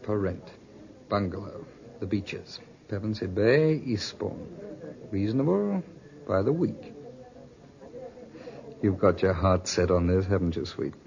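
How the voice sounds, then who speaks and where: Lively, suave two-timing lover. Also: male voice, on the low side, unhurried, vocal fry, long pauses. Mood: indulgent. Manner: suave, coaxing. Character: smooth-talking two-timing male lover, glib and scheming. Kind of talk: 1950s radio drama acting. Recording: dull 1950s radio recording.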